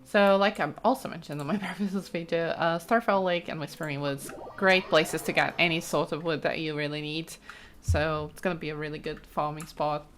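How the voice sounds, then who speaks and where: A woman's voice: low-pitched, fairly even intonation, moderate pace, a bit bright, a little hiss or hum. A woman giving casual, chatty gameplay commentary, thinking aloud as she plays. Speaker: let's play host. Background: game music, keyboard clicks.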